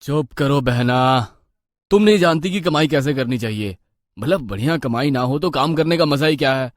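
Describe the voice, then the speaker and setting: A man's voice: mid-range voice, lively intonation, moderate pace, no echo, studio quality, natural voice. A man voicing a greedy, scheming street food seller in a cartoon moral story, theatrical and full of exclamations. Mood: dismissive. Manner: exclaiming, theatrical, talks to himself. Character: greedy, scheming male biryani seller, wheedling and defensive. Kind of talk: animated Hindi cartoon moral story acting.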